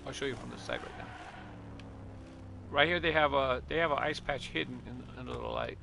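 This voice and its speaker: A man giving casual, relaxed commentary while playing a racing game, talking half to himself. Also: mid-range voice, fairly quick, gravelly, over music. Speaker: gaming streamer.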